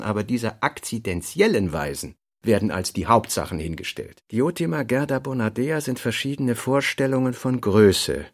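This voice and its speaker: A man reading a writer's notebook for radio in a deliberate, reflective way, pausing between phrases. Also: mid-range voice. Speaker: reader.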